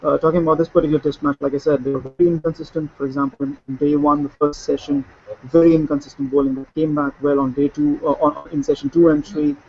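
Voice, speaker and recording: A man's voice: fairly high pitch, level tone, fast talker, muffled recording, a little hiss or hum. A man talking through a cricket match in a casual discussion, fluent but with many fillers and restarts. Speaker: cricket analyst. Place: Sydney, remote link.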